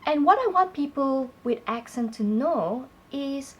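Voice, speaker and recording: A woman co-hosting a talk segment, speaking clearly and prompting her partner with questions. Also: high voice, expressive, unhurried. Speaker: co-host. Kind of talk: scripted conversational presenting.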